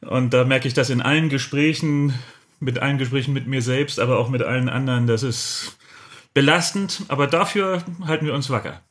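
A man's voice: mid-range voice, brisk, fairly bright tone. A middle-aged man chatting casually and reflectively as an interview guest.

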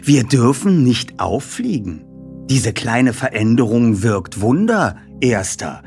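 A man voicing a cheeky teenage boy detective with a dry, quietly mocking manner in an audiobook. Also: mid-range voice, big pitch swings, slow pace, gravelly, soft background music, heavily acted. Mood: dryly mocking. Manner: dry, teasing.

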